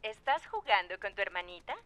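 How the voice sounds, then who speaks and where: A woman's voice: mid-range voice, expressive, a little fast, dull audio, close to an everyday voice. A woman playing a friendly adult caller talking to a child in a children's cartoon dub, sing-song and encouraging.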